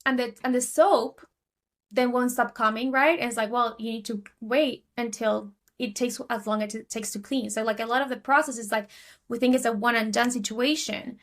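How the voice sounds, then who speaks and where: A woman's voice: fairly high pitch, steady tone, normal speed. A woman from Argentina with an Argentinian accent, chatting casually as a podcast guest with many fillers and restarts. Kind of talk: casual interview talk.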